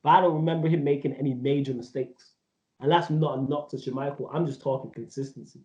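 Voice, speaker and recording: A man's voice: medium pitch, steady tone, fast talker, a little mellow. Emphatic man with a dull, echoey sound. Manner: emphatic, rambling, slang-heavy. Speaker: podcast co-host. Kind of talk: casual football debate.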